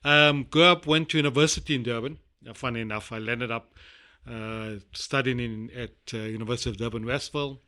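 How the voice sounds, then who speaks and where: A middle-aged South African man being interviewed, talking in a rambling, halting way with many fillers. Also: medium pitch, steady tone, bright, forceful tone. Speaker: guest. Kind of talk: casual interview chat.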